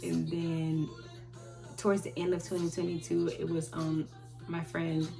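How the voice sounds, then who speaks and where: A woman in her twenties answering viewer questions in a rambling, halting way, often restarting and trailing off. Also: low-pitched, level tone, vocal fry. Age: 20s. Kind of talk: casual chat. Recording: loud music playing behind her.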